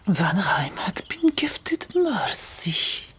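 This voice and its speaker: A woman reads a story as an audiobook narrator in a hushed whisper. Her delivery is slow, deliberate and dramatic, in an ASMR storytelling style, at a medium pitch. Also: sing-song.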